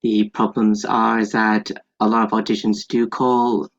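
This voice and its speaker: A man's voice: fairly low pitch, level tone, a little slow. A young man, a theater student, politely and hesitantly asking a question on a dull, lo-fi online call.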